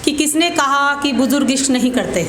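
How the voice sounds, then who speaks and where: A woman performing as a poet at an open mic, reciting verse with deliberate repeated lines and informal introductions. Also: high voice, a loud crowd. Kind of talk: spoken Hindi-Urdu poetry recital with informal introductions. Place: open mic event room. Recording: echoey room with clear background noise.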